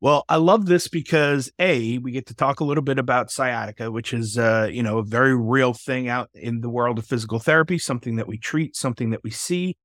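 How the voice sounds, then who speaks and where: A man hosts a podcast interview in a casual, enthusiastic way, with mid-range pitch and plenty of fillers. The sound is dry and close. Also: a little fast, few pauses, a bit bright, no echo. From Texas. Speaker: podcast host.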